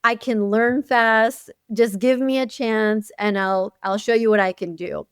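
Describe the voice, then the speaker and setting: A woman whose first language is Arabic, telling her career story in an interview, lively and chatty with many "you know"s. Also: fairly high pitch, unhurried, clean tone, no echo, clean studio sound. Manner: animated storytelling with frequent "you know" and laughs. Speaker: guest, chief dental officer. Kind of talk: conversational interview.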